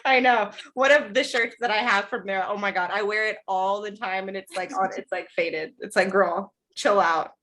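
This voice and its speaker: Woman host on a video call, keeps saying she loves it, mid-pitched everyday voice. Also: steady tone, a bit bright. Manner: enthusiastic, quick to affirm with yeah and I love it. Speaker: podcast co-host. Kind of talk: casual interview chat.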